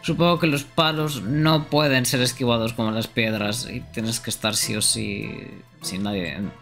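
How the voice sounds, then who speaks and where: A man's voice: mid-range voice, steady tone, moderate pace, creaky. A young man giving casual live gameplay commentary as a streamer. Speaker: game streamer. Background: loud game music.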